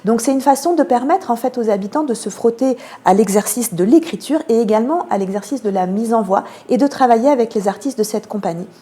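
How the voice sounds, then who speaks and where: Woman, French accent from France, mellow tone, measured and hesitant delivery, radio interview guest, explanatory. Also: a little high, animated. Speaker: theatre programme manager, interview guest.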